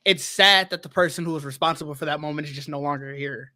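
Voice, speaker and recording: A man's voice: fairly high pitch, quick pace, bright, forceful tone. A man co-hosting a podcast, talking earnestly and reflectively in casual chat, trailing into fillers. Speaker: podcast co-host.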